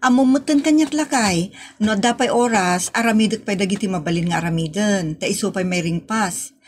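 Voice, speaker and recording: A woman's voice: mid-range voice, a little hiss or hum, close to an everyday voice. A woman playing a busy, scattered middle-aged wife in an amateur comedy skit who chats through her excuses. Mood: chatty. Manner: chatty, quick explanations.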